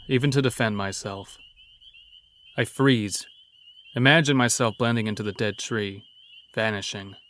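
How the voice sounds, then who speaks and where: A man's voice: fairly low pitch, fairly bright tone. A man acting as a hunted logger narrating an audio horror drama in a confessional, wry way. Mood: tense, resigned. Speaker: narrator and protagonist. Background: music.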